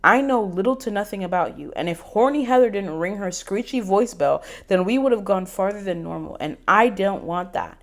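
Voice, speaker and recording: A woman's voice: fairly high pitch, very animated, slow, a little mellow, pitched above the performer's own voice. A woman voicing a defiant young woman heroine who talks back in sassy, emphatic bursts. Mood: furious.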